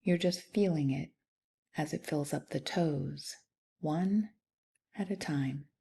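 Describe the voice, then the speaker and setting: A woman leading a guided meditation in a soft, soothing, unhurried way with long pauses. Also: deep voice, creaky, husky. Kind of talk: guided body scan meditation.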